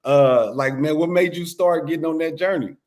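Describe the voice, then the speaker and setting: A man's voice: on the high side, moderate pace, a little mellow. A man hosting a casual podcast interview, talking loosely and laid-back and peppering his sentences with "man". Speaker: podcast host.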